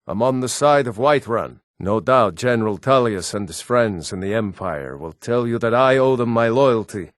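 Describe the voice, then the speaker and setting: An actor playing a proud, stern Nord man in a fantasy video game, with a measured, weighty delivery. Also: mid-range voice, lively intonation, no room sound, studio quality, lightly acted. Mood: grave, guarded.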